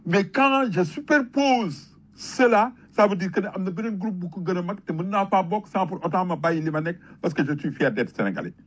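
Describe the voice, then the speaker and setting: A man with a Senegalese accent speaks in a husky, slightly high voice. He delivers an impassioned patriotic talk like a storyteller, leaning on repetition and emphatic, rhetorical phrasing. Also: lively intonation, brisk, hardly any pauses. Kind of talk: impassioned patriotic public talk, switching between French and Wolof.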